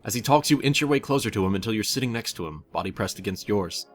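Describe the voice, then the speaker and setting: A man's voice: fairly low pitch, animated, fast talker. Male story narrator over faint music. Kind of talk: scripted story narration in second person.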